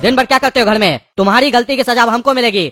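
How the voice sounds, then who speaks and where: A performer voicing a gruff male cartoon police inspector who berates in an exasperated manner. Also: medium pitch, fast, fairly bright tone, no echo, clean studio sound, faint music behind, natural voice. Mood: scolding. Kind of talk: comic cartoon dialogue.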